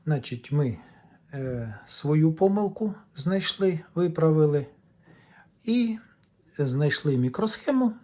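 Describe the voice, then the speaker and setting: A man's voice: a little high, lively intonation, slow, mellow. A man giving a casual, step-by-step repair demonstration, explaining in a hesitant way. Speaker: electronics repair vlogger.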